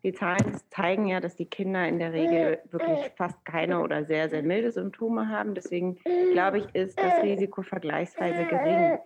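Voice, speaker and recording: A woman in her thirties, born in Iran, talking as a guest over a video call, thoughtful and hesitant with many pauses. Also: medium pitch, animated, vocal fry, a little mellow. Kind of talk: casual interview conversation.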